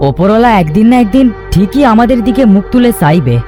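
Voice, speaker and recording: Plaintive, animated old village father played by a woman. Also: medium pitch, quick pace, over music, lightly acted. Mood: weary. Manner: plaintive, devout. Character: weary, pious, poor older village father. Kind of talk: cartoon voice acting.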